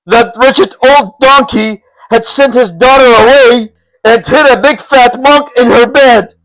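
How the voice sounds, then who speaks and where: A man's voice: very high voice, unhurried, fairly bright tone, voice pushed up, rough recording. A man voicing a beaten, pleading male bandit lieutenant in a story reading. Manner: desperate, pleading.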